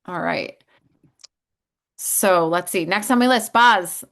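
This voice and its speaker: A woman's voice: on the low side, slow pace, gravelly, a bit bright. Upbeat woman hosting a casual demo. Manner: upbeat, friendly, with frequent fillers. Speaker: sales host of company demo. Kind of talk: casual chat on video call.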